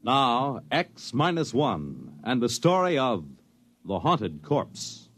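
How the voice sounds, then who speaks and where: A man announcing a 1950s radio show in a dramatic, portentous and deliberate style. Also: mid-range voice, sing-song. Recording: vintage radio broadcast.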